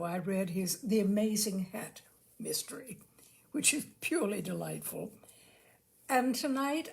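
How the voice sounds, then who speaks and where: A woman hosting a story reading, speaking and reading aloud in an expressive, deliberately phrased way. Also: mid-range voice, creaky. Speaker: host and reader of a short-story reading show. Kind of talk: live literary reading aloud with informal introductions.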